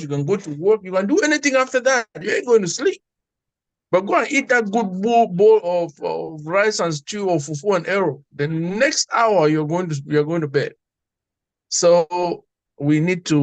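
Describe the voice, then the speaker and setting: A man telling a story and making his point in a casual group talk, earnest and growing animated. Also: on the high side, no room sound. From Cameroon. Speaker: guest.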